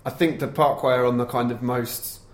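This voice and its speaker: A man's voice: mid-range voice, steady tone, a little fast. A man hosting a casual podcast chat. He swears freely and laughs a lot as he asks the others questions. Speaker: podcast host. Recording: roomy echo.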